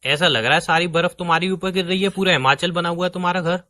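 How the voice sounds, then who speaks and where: A man's voice: sits high, quick pace, sharp tone. A young man playing an exasperated boyfriend who coaxes and complains in a casual lovers' phone-call drama. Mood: complaining. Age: young adult.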